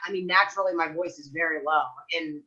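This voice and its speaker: A woman's voice: low-pitched, fast, muffled recording, noisy background. A woman vocalist, a guest on a podcast, talking thoughtfully with lots of fillers. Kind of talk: podcast conversation.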